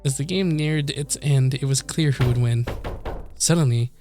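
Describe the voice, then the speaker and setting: Male game streamer, medium pitch, reads visual novel text aloud, trips over words and chuckles, faint music behind. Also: moderate pace. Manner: chuckles, stumbles over words and corrects himself. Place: streaming setup indoors.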